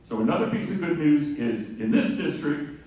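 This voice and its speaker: A man giving an emphatic public speech at a town hall with a loud, projecting voice, often posing rhetorical questions. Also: a little high, big pitch swings, normal speed. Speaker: guest speaker from an advocacy group. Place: large echoey room at a public meeting. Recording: echoey hall, distant mic, faint noise.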